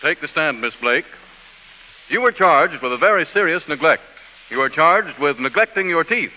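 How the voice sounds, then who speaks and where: In a long reverb, a stern, earnest judge for an old radio advertisement. The voice is forceful, very bright and somewhat high, with declamatory delivery. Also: male voice, expressive, moderate pace, highs cut off, slight background noise. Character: stern courtroom judge turned earnest toothpaste pitchman. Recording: reverberant 1930s radio broadcast.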